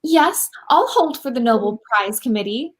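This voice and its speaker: A proud young eco-inventor played by a woman in her thirties in a comic radio play. She has a somewhat high voice and a dry-witted, natural delivery. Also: expressive, normal speed, breathy edge. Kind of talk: comedic radio play acting over video call. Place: room at home, on a video call.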